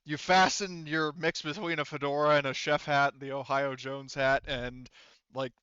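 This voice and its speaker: A man running a tabletop roleplaying game as game master, improvising narration in a casual, profane, halting way. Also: on the high side, level tone, bright, forceful tone, clear, resonant tone, no echo, muffled recording.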